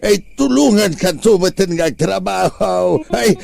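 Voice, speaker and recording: A man's voice: sits high, measured pace, gravelly, a little mellow, full character voice. A man with an Ilocano accent playing a whining, complaining husband in a comedy drama, wailing and lamenting in an exaggerated way. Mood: pleading, complaining. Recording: music behind. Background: music.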